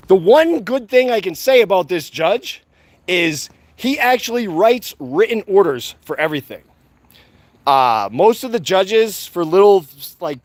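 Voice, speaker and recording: A loud, defiant man rants to his viewers in a high-pitched voice. He swears freely and hammers his points home by repeating them. The sound is dry and close. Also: no echo. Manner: profane. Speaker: activist channel host. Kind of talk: fired-up monologue to viewers.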